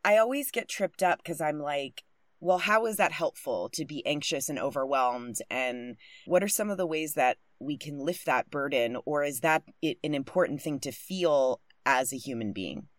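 A young woman with a low-pitched voice hosts a casual podcast, candid and full of cheerful swearing. She laughs easily and speaks close to the mic. The recording is dry and tight, made in a home closet. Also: expressive, moderate pace, no echo. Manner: candid, profane, bubbly, laughs often. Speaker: podcast host. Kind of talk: casual podcast intro and interview. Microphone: studio mic close up.

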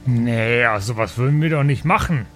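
A man's voice: medium pitch, moderate pace, soft background music, close to an everyday voice. Man playing a grumbling, reluctant young adventurer. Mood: sulky, reluctant.

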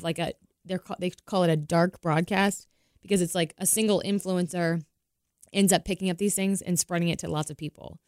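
Hoarse, chatty woman podcast co-host. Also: fairly low pitch, no room sound, clean studio sound. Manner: chatty, explains at length. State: hoarse with a cough. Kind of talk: casual chat.

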